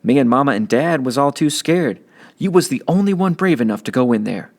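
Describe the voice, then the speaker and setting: A man's voice: medium pitch, animated, fairly quick, pitched above the performer's own voice, lightly acted. A man voicing a tough, streetwise teenage older brother who lectures bluntly in slang. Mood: insistent. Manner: scolding, slangy, forceful lecturing.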